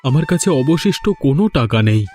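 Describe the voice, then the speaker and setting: A man's voice: medium pitch, expressive, fast talker, a little mellow, soft background music, natural voice. A man voicing an aggrieved, put-upon village fisherman in a cartoon. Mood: defensive, weary. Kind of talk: Bengali cartoon voice acting.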